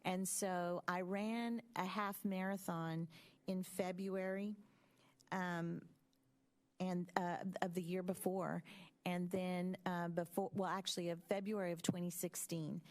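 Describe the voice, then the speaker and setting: A woman in her fifties speaking at a school board meeting, telling a personal story in a measured, reflective way with frequent ums. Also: fairly low pitch, flat delivery. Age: 50s.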